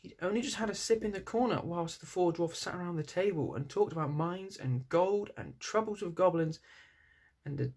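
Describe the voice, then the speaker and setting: A man narrates a story aloud in a slightly high voice. There is a noticeable creak in it as he reads as the storyteller. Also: normal speed, darkish tone.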